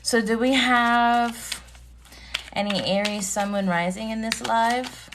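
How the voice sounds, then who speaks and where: A woman's voice: fairly high pitch, fairly even intonation, slow pace, background noise. A woman in her thirties hosting a casual live tarot stream, chatty, greeting viewers by name and laughing often. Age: 30s.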